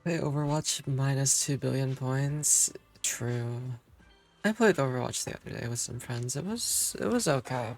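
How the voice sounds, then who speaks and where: Man, casual live streamer, hesitant rambling chat, medium pitch, background music. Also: measured pace, creaky. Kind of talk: casual chat with viewers while gaming. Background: game music.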